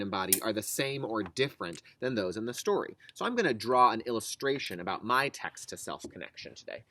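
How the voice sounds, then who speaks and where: A man's voice: mid-range voice, a bit bright, no echo. A man teaching young children through a read-aloud lesson, explaining in simple, instructive phrases and posing questions to them. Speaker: kindergarten teacher.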